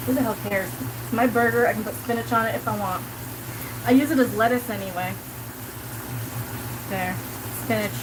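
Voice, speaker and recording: A woman in her forties with a South Kansas accent, chatting casually on a live stream while cooking in her home kitchen, rambling and leaning on "like" as she answers her chat. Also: mid-range voice. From Colorado. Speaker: livestreamer. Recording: sizzling pan noise behind. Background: sizzling pan.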